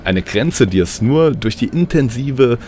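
A man's voice: mid-range voice, expressive, measured pace, dark tone, slight background noise. A man narrating a comic audio play as host, with a playful, mock-dramatic storytelling manner.

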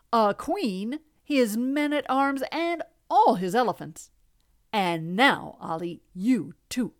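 A woman's voice: a little high, fairly bright tone, no echo, studio quality, full character voice. A woman voicing a boastful, greedy fat tomcat in a children's storybook, with a swaggering, scornful manner. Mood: gloating, menacing.

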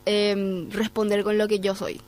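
A woman from Paraguay speaks with her local Spanish accent. Her pitch sits in the middle range, and she offers advice conversationally, as a guest in an interview. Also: level tone, measured pace, darkish tone. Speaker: guest, programme coordinator.

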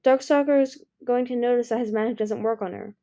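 A woman's voice: on the high side, steady tone, a little fast, warm tone, pitched above the performer's own voice, natural voice. A woman voicing the anxious inner thoughts of a young male dragon. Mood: frantic. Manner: frantic, breathless whispers of thought.

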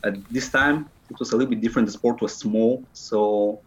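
A man's voice: medium pitch, fairly even intonation, brisk, rough recording. A man in his early twenties with a Bulgarian accent, giving casual answers as an interview guest. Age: early 20s. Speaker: interview guest, calisthenics athlete.

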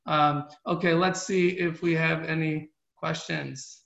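A middle-aged man giving an informal religious class as a rabbi, taking questions and answering earnestly in a halting way, repeating and rephrasing himself. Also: fairly high pitch, steady tone, creaky, fairly bright tone. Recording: muffled, echoey room recording.

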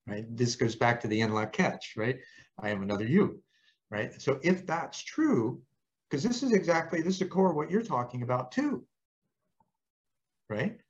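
A man hosting an interview in a reflective, casual way, speaking haltingly and often checking in with short tag questions. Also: medium pitch, very animated, lo-fi sound. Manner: halting, often tags phrases with "right?". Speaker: interviewer, host.

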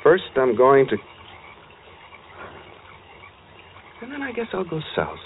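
An actor playing an escaped madman and killer in an old-time radio suspense drama, calm, brooding and menacing, in short wistful phrases. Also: on the high side, a little fast, narrow, tinny audio. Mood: quiet menace. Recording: thin band-limited old radio recording, faint noise.

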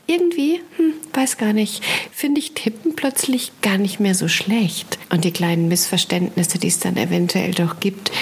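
A woman delivering a humorous, conversational spoken column in a wry, expressive manner, with pointed pauses and emphasis and a breathy, husky voice. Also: lowish voice, a little hiss or hum. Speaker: columnist presenting a humorous monologue.

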